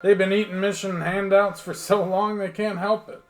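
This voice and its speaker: An actor playing a rueful, grumbling old-time police lieutenant with folksy working-class talk in a radio play. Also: male voice, sits high, level tone, normal speed.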